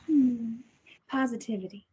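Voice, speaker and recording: A woman voicing a calm, clinical woman who holds a captive and experiments on her, reading in a table read. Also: fairly high pitch, a little slow, slight background noise, natural voice. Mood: cold. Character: coolly menacing woman doctor-kidnapper Beth. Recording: dull lo-fi recording.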